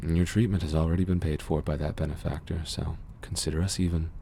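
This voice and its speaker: A man playing a mysterious, guarded cyberpunk underworld doctor in an ASMR roleplay, speaking gently in a measured, formal, reassuring way. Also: very deep voice, flat delivery, vocal fry, a little hiss or hum. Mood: calm, discreet. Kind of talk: ASMR roleplay, soothing scripted monologue.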